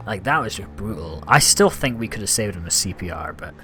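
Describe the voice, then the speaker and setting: A man's voice: on the low side, sing-song, a little fast, bright, forceful tone, a little hiss or hum. A Scottish man chatting casually and rambling as he streams a game, reacting aloud to what happens on screen. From Scotland. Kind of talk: casual live-stream commentary.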